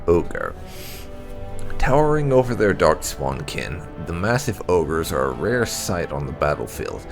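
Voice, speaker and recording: Male game-lore narrator, deep and animated, measured dramatic storytelling over faint music. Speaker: gaming channel host. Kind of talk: reading game lore aloud, scripted narration.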